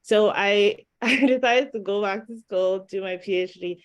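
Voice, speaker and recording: A woman's voice: mid-range voice, measured pace, smooth. A woman from Nigeria speaking as a panelist, thoughtful and chatty, laughing now and then. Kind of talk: casual discussion.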